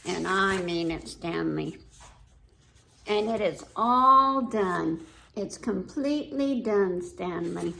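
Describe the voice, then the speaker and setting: A woman voicing a stubborn, boastful little girl from a storybook, with emphatic, defiant, drawn-out words. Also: medium pitch, expressive, vocal fry, sharp tone, slight background noise, pitched below the performer's own voice, full character voice. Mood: proud, boastful.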